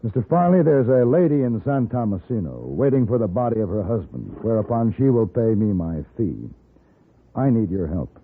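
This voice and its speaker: An actor playing a cool, wry, educated gunfighter for hire in a radio western, with a polished, dry delivery. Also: male voice, fairly low pitch, big pitch swings, normal speed, breathy edge, exaggerated voice. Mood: confident. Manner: polished, dry, self-possessed. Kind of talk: 1950s radio western acting. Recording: muffled old radio recording.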